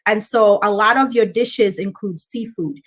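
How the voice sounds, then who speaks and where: A woman's voice: mid-range voice, a little slow. A woman from Kenya, a Kikuyu speaker, chatting as a guest and explaining at length with frequent ums. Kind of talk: casual interview.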